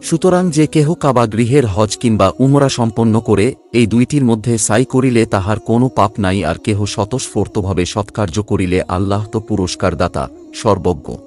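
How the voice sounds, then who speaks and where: Low-pitched man reading scripture formally. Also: moderate pace, darkish tone. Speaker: translation narrator.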